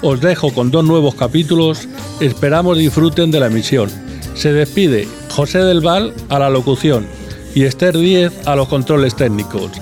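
A man's voice: a little high, lively intonation, moderate pace, a little mellow. A man presenting a radio programme from a script. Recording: music behind the voice.

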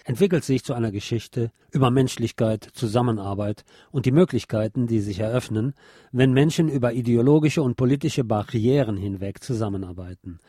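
A man's voice: mid-range voice, mellow, no echo, clean studio sound. A man hosting a radio show, presenting in a partly scripted way.

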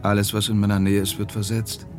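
A man's voice: fairly low pitch, completely flat delivery, fairly quick, over music, lightly acted. An actor playing a cool, evasive male police detective in a German radio drama, laconic and guarded.

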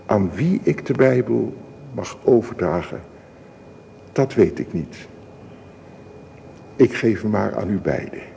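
Solemn church elder, radio drama, measured ceremonial delivery, mellow tone, hissy old recording. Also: male voice, on the low side, animated, gravelly, long reverb tail, lo-fi sound, heavily acted. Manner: formal, measured, preachy. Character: solemn male church elder presenting a wedding Bible.

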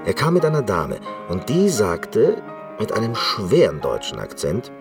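An actor playing an eccentric, reclusive concert pianist in a radio play monologue, speaking in an intense, deliberate, reflective way. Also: male voice, fairly low pitch, huge pitch swings, over music, exaggerated voice.

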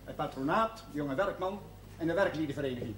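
An earnest, persistent schoolmaster in a television period drama. His voice is high and his delivery deliberate and formal. Faint noise sits behind the old soundtrack. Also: animated, a bit bright.

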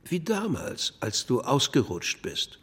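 An actor playing a sardonic small-town drinking buddy in a radio drama, with deadpan, curt delivery. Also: male voice, medium pitch, slow pace, husky, full character voice. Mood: deadpan sarcasm. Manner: deadpan, sarcastic one-liners.

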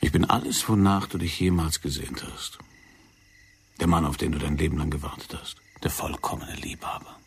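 An actor playing a controlled, commanding secret agent who coaches someone in a measured, insistent way in a radio play. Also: male voice, extremely low pitch, vocal fry, husky, heavily acted. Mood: seductive, controlled.

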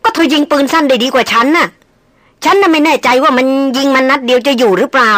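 A woman's voice: sits high, fast talker, a bit bright, no room sound, clean studio sound, pitched above the performer's own voice. Woman voicing a sheepish, pleading ex-army man.

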